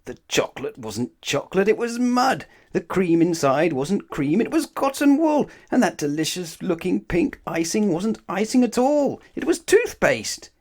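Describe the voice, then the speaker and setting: A man's voice: sits high, big pitch swings, a little slow, few pauses, darkish tone. A man reading a children's story aloud as narrator, expressively and with dramatic emphasis. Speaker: storybook reader.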